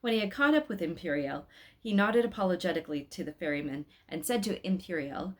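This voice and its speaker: A woman's voice: on the low side, lively intonation, a little fast. A woman hosting a read-aloud, telling a children's story expressively and speaking straight to young listeners. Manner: addresses young listeners directly with questions.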